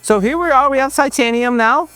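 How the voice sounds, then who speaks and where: A man's voice: very high-pitched, brisk, pushed and bright, smooth. A man presenting a fabrication project in a casual, joking vlog style. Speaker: exhaust fabricator presenting a build. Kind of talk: casual vlog chat about a build. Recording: music behind the voice. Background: music.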